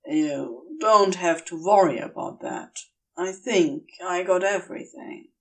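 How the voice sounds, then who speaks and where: A woman voicing a patient, slightly weary male caretaker in an audio story, speaking in a firm, measured, laconic way. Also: on the low side, recorded from a distance, rough recording. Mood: patient, reassuring.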